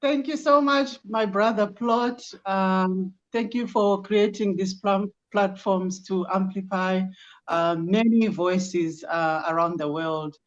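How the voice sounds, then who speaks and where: Slow-talking Zimbabwean woman. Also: medium pitch, highs cut off. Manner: slow, measured, with many ums and uhs. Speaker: guest, project founder. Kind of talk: casual interview answers.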